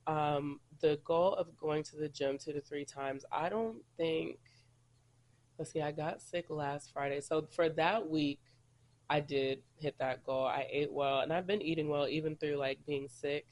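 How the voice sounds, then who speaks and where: A man's voice: fairly high pitch, fairly even intonation, a little slow. A congested man recovering from a cold, hosting a casual solo podcast and rambling in a chatty way. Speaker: podcast host.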